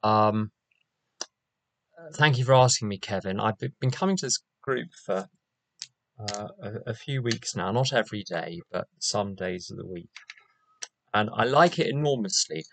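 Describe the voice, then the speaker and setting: An older man with a London accent, giving a measured, wry personal share at a recovery meeting. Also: on the low side, creaky, fairly bright tone, no room sound. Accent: London English. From England. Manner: measured, wry and reflective, with fillers. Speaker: AA meeting speaker. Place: meeting room.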